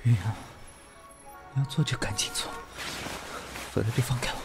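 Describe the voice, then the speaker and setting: A man's voice: medium pitch, moderate pace, loud music behind the voice, close to an everyday voice. An actor playing a proud, defiant businessman with a curt, sarcastic way of talking in an audio drama. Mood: strained, defiant. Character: proud, sharp-tongued businessman, defiant reluctant male lover. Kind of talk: Chinese audio drama acting.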